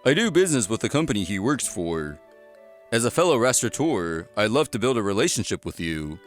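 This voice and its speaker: A man acts out a middle-aged restaurant boss in a manga story. He is hearty and courteous, and his pitch leaps about dramatically. Music sits under the voice. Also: sing-song, a little fast. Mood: jovial, courteous. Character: jovial fish-trading restaurateur in his fifties. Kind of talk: manga voice acting. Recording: music behind the voice.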